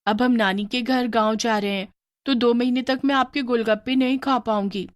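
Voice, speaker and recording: A woman voicing a cheerful schoolgirl who loves street snacks, bubbly and excited. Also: on the high side, normal speed, no echo, clean studio sound, voice pushed up, natural voice.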